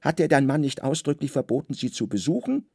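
A man's voice: mid-range voice, sing-song, fairly quick, gravelly, mellow, slightly rough, no echo, studio quality, full character voice. A man voicing a nosy, reproachful maidservant in a radio play, insistent and scolding. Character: scolding, nosy maidservant threatening to tell on her mistress. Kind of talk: literary radio play dialogue.

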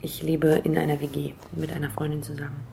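A woman's voice: low voice, flat delivery, fairly quick, creaky, dark tone, a little hiss or hum. A woman in her twenties playing a hesitant unemployed actress in a naturalistic radio play, speaking plainly. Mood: hesitant, sceptical. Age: 20s. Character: hesitant unemployed young actress being questioned.

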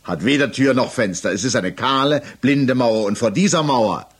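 An actor playing a probing, sceptical examining magistrate in a German radio play, speaking precisely and pointedly. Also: male voice, mid-range voice, moderate pace, husky, close to an everyday voice. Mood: methodical, insistent.

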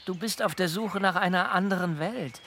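An actor playing a thoughtful, persuasive male mentor in a radio drama, advising in deliberate, measured phrases. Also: high voice, bright, forceful tone, slight background noise. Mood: gently persuasive. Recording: faint music behind the voice. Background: faint music.

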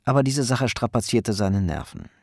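A man's voice: mid-range voice, animated, normal speed, creaky, dry recording, clean studio sound. A man narrating a crime radio play in a sober, scripted storytelling style. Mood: tense, matter-of-fact.

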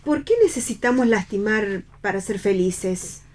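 An actress in a radio play voicing a humble, devout young salvationist in love, earnest and lyrical. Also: female voice, medium pitch, animated, a little slow, darkish tone. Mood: gentle. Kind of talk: read radio theatre.

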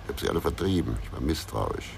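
Gruff investigator, extremely low gravelly voice, brooding and terse, radio crime drama with some background noise. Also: male voice, animated, quick pace, exaggerated voice. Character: gruff, brooding male investigator, haunted by a lonely childhood.

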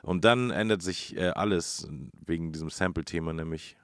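A man's voice: sits low, normal speed, a bit bright, smooth, no echo, studio quality. A man hosting a radio show, talking casually about music history in colloquial, hesitant phrasing. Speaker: radio host. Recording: music playing behind the voice.

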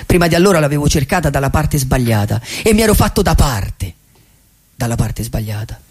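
A man playing a wistful, poetic vagabond dreamer in a radio theatre monologue, reflective and husky, with deliberate pauses. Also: mid-range voice, expressive, a little mellow. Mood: nostalgic, tender. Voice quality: husky, gravelly. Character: wistful, poetic male vagabond dreamer who loves cinema.

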